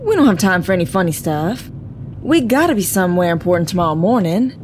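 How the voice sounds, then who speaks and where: A woman's voice: fairly low pitch, big pitch swings, fast talker, a little hiss or hum. A woman acting an exasperated, fearless, teasing partner in an audio drama, with a no-nonsense, mocking way of talking.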